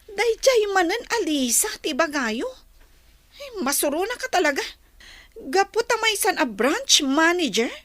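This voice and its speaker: Woman playing a very high, sharp-voiced defiant daughter. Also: big pitch swings, a little slow, dry recording, heavily acted. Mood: determined, resentful. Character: defiant, jealous, ambitious young daughter. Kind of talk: dramatized audio drama dialogue.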